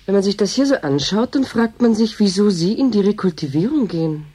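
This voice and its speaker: A female station chief in a German radio drama. Her voice is dark and husky, at a middle pitch. She sounds deliberate and officious, with the sober weariness of someone who has stopped dreaming. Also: lively intonation. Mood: weary, sober. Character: world-weary, dryly officious female boss of a land reclamation station.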